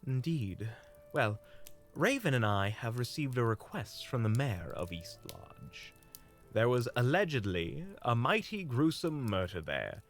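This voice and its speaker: A man playing a courteous, smooth-talking monster hunter in a fantasy audio drama, with formal, flowery politeness. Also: medium pitch, sing-song, a little slow, faint music behind. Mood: courteous, persuasive. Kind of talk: fantasy audio roleplay acting.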